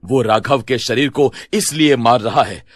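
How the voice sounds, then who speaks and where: A man's voice: mid-range voice, unhurried, dry recording, studio quality, lightly acted. A man playing a solemn occult holy man who gives ominous, urgent warnings in a horror audio story. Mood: grave warning.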